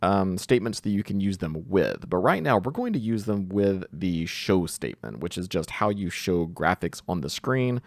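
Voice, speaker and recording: A man giving an informal screen-share software tutorial, thinking aloud hesitantly as he goes. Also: low voice, big pitch swings, normal speed, creaky, hardly any pauses, no room sound, studio quality. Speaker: tutorial host, coding instructor.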